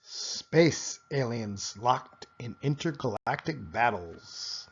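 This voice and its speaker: A man reads a children's storybook aloud in an expressive storytelling style. His voice sits at an average male pitch and he takes his time at a measured pace. The recording is a little dull and lo-fi. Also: slightly rough. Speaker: storybook reader. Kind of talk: children's picture book read-aloud.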